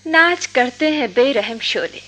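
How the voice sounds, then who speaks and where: A woman's voice: sits high, expressive, a little slow, sharp tone, heavily acted. A woman acting a playful, witty young friend in a radio drama, with a teasing, theatrical, poetic way of talking. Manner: teasing, verse-quoting, theatrical.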